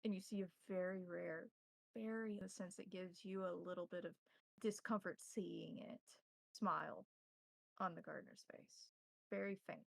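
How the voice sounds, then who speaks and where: A woman game master narrates a tabletop horror story. Her voice carries plenty of creaky fry and is recorded dry. She describes things deliberately, phrase by phrase. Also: lowish voice, fairly even intonation, no room sound, rough recording.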